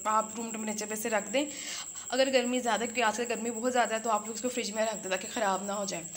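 A woman's voice: on the high side, slight background noise. A woman hosting a casual home cooking tutorial, chatting as she works and saying a blessing before each step. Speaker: cooking channel host. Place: home kitchen.

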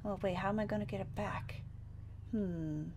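A woman's voice: medium pitch, normal speed, vocal fry, darkish tone, slight background noise, lightly acted. A woman playing a playful, carefree young survivor in a Minecraft roleplay, talking in a casual, bubbly, impulsive way.

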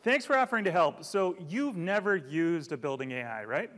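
A man's voice: on the high side, lively intonation, normal speed, fairly bright tone. A man in his thirties presenting a product launch keynote on a large auditorium stage, upbeat and theatrical. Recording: long hall reverb.

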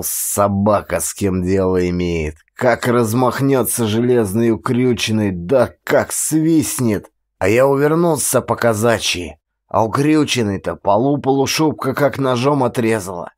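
A man's voice: mid-range voice, pitched above the performer's own voice, close to an everyday voice. A man playing an aggrieved old former policeman who boastfully recalls his past in a theatrical, folksy audiobook style. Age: older. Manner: slow, theatrical, folksy storytelling. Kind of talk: dramatic audiobook acting.

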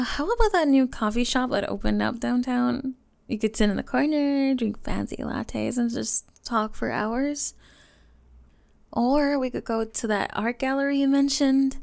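A woman voicing a teenage goth bully with a secretly tender, flustered side, in an ASMR romance roleplay full of giggles and hushed confessions. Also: fairly high pitch, moderate pace. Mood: excited, affectionate. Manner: breathy giggles, hushed confessions, playful sarcasm.

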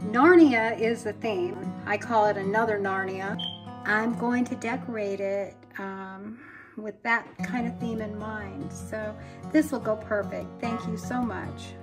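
Female DIY vlogger, mature, conversational and unhurried, echoey room, clear music bed underneath. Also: medium pitch. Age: middle-aged to older. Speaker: vlogger, DIY cabin builder. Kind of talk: casual vlog chat and narration. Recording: roomy echo, music behind.

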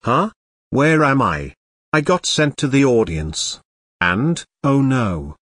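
A man voices a bratty cartoon boy who rants with big swoops of pitch. The sound is dry and close, and the delivery stays blunt and insulting. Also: sing-song, slow, fairly bright tone, breathy edge, no room sound, full character voice. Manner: whiny, insulting ranting. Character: bratty young boy troublemaker. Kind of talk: cartoon grounded-video dialogue.

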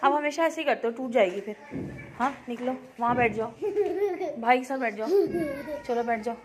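A woman's voice: a little high, normal speed, a little hiss or hum. A woman chatting casually in a family vlog, prompting children with short questions and commands. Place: at home with children.

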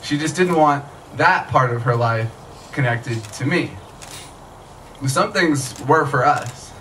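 Bright-voiced man reading fiction, distant mic. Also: medium pitch, slow pace. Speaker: author reading own work. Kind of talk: live literary reading of short fiction. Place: small tent stage at night, outdoors. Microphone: stand microphone, recorded by camera from audience. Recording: distant mic, noisy live outdoor event.

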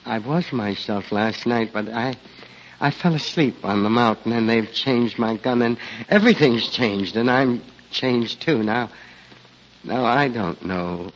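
A man's voice: mid-range voice, unhurried, lightly acted. An actor playing a bewildered, meek old village man in a hesitant 1940s radio drama style. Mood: distressed, bewildered. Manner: hesitant, befuddled, exclaiming. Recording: noisy, muffled 1940s radio recording.

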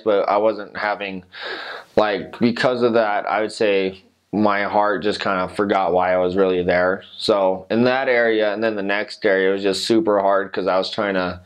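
A young man in his twenties casually answering interview questions about his missionary service, rambling with lots of fillers. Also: lowish voice. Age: 20s. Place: indoor studio with dark backdrop. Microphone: lapel mic.

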